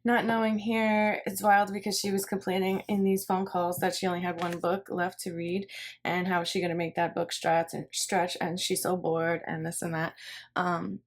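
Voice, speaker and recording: A woman with a fairly low voice talks through a tarot reading in a casual, rambling way. She thinks aloud and circles back over her phrases. There is clear background noise, with cards shuffling and clicking. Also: level tone, moderate pace. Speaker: tarot reader.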